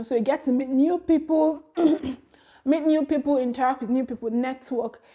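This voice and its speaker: High-voiced Nigerian woman in her thirties. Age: 30s. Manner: chatty, laughs often, animated exclamations. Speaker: vlogger. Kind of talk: casual talk to camera.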